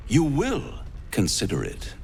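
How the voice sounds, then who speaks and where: An actor playing a suave, menacing gentleman devil in a video game, silky and theatrical, savouring his words. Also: medium pitch, wildly swooping pitch, measured pace, slight background noise. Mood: silky, gloating.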